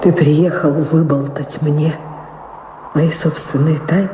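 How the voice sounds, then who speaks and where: A woman's voice: sits low, fairly even intonation, takes it slowly, background noise. An actress playing a guarded mother and former lover in a radio drama, with pointed theatrical delivery. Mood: guarded, reproachful. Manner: probing, pointed questioning. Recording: echoey hall reverb, music underneath.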